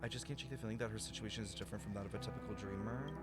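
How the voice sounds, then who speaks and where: A man's voice: fairly low pitch, fairly even intonation, rapid-fire, loud music behind the voice. A man streaming a game, giving casual live commentary, reacting with animation and thinking aloud about the story.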